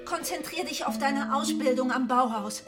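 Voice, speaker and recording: Actress doing an anxious secretive woman, high voice, urgent, music under it, radio play. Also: moderate pace, natural voice. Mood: agitated, firm. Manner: urgent, pleading, repeating herself. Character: anxious, ambitious woman photographer hiding a forbidden love. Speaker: actor in a radio drama. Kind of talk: radio drama acting.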